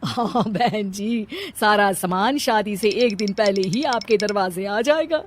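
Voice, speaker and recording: A woman's voice: medium pitch, measured pace, heavy background noise, close to an everyday voice. A woman voicing a frank, defiant middle-aged mother of the bride in a cartoon, with a plain-spoken, pointed manner. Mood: polite, frank.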